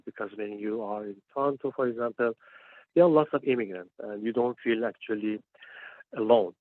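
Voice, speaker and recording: A man's voice: fairly low pitch, no echo. A man in his forties from Iran, a panelist on a phone call, speaking with frequent hesitations and restarts. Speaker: panelist, PhD student. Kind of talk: panel discussion. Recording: tinny dry phone line.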